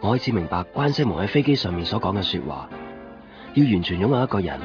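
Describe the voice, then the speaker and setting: An actor playing a brooding, gentle young man who narrates his lost love introspectively in a Cantonese radio drama. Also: deep voice, lightly acted. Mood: tender, melancholy. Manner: wistful, introspective. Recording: dull 1990s radio recording, music behind. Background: music with guitar.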